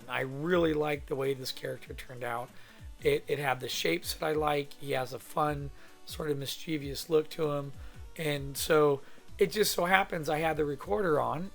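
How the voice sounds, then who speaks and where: A man from California with a hoarse voice from a cold, thinking aloud in a rambling, casual way as he teaches a drawing demo in his home studio. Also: on the high side, a bit bright. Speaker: art instructor.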